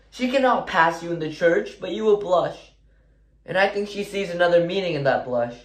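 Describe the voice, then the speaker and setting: Stage drama, male actor as anguished wife, accusing and urgent, raised voice pitch, roomy echo. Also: lightly acted. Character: anguished, reserved farmer's wife bursting with accusation. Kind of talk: stage monologue acting. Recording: echoey room.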